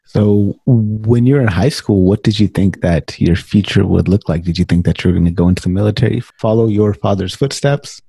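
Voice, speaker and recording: A man's voice: lowish voice, fairly quick, vocal fry, hardly any pauses, warm tone, slightly rough, no room sound. A man hosting a podcast interview, reading out a formal guest introduction and asking friendly questions. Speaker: podcast host.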